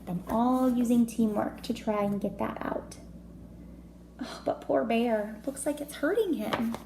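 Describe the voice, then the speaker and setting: A woman reads a picture book to young children in an expressive, teacherly way. Her voice is fairly high with noticeable creak, and she takes her time, pausing for chatty asides. The room echoes around her and there is faint noise. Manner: expressive, child-directed, asking questions and commenting. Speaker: kindergarten teacher reading aloud. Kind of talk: storybook read-aloud with chatty asides to children.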